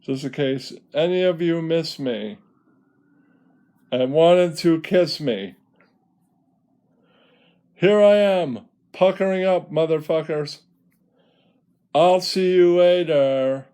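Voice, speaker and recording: A man's voice: on the high side, takes it slowly, pauses often, mellow, smooth. A man voicing a flamboyant, foul-mouthed male puppet who preens and teases in a comedy puppet show monologue. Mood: sassy, preening. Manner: preening, teasing, theatrical.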